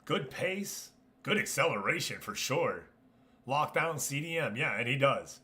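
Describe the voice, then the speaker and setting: A man's voice: medium pitch, expressive, measured pace, pushed and bright. A man hosting a gaming livestream, talking casually and chummily to his viewers as he comments. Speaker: livestream host.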